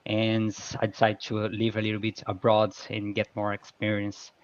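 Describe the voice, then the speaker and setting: A man's voice: on the low side, monotone, normal speed, no room sound. Brazilian man, hesitant, dull dry sound. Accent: Brazilian Portuguese. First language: Portuguese. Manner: hesitant, searching for words. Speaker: guest speaker. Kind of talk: casual video-call chat.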